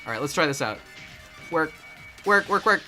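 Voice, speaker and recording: Animated, very bright-voiced male gamer. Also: a little high, moderate pace. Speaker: gaming channel player. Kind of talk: casual excited gameplay banter. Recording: faint music behind the voice.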